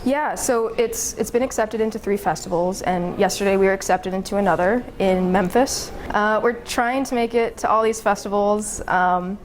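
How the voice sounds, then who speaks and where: A woman filmmaker gives unscripted interview answers. Her voice sits at a middle pitch, with some noise around her. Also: normal speed, few pauses.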